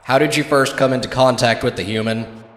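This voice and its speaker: A man's voice: medium pitch, fairly quick, close to an everyday voice. A man playing a curt, impatient official interrogator in an audio drama, speaking in formal, clipped phrases in an echoing hall. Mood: stern, official. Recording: echoey hall with long reverb.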